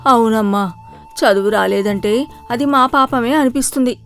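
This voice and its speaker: Woman playing a humble devout villager, high-pitched. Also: normal speed, lightly acted. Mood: humble resignation. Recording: music bed under the voice.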